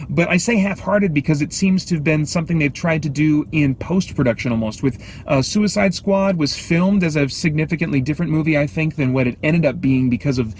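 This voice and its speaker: A man giving a casual, unscripted movie review as host, speaking haltingly with pauses and asides while sitting in a car. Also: fairly high pitch, a little mellow. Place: inside a car. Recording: light background noise inside a car.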